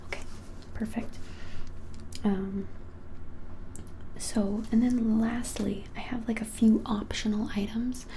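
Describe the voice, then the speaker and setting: A young woman whispers an ASMR shop roleplay in a mellow, slightly creaky voice. Her delivery is even and unhurried, with small hesitations, and a noticeable hiss sits behind her. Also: a little high. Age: 20s. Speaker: ASMR creator playing a witch shop keeper. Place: small plain-walled room, indoors.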